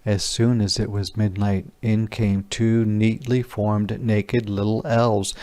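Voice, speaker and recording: A man reading a picture book aloud as a teacher would to young children, expressive, explaining and questioning. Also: fairly low pitch, takes it slowly.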